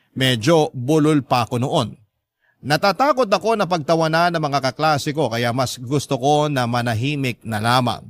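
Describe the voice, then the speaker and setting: Male narrator for radio drama: mid-pitched, scripted first-person storytelling, close dry recording. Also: dry recording.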